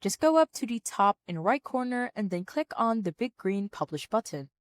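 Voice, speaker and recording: A woman narrating a step-by-step software tutorial in an orderly, plain-spoken, instructional way. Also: mid-range voice, sing-song, moderate pace, no room sound. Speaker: tutorial presenter.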